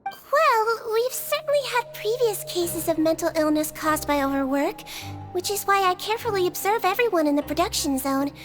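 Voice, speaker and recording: A woman voicing a gentle young girl who works as a caring head nurse, soft and reassuring in a game cutscene. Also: very high-pitched, animated, normal speed. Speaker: nurse in a prison fortress. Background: game soundtrack music.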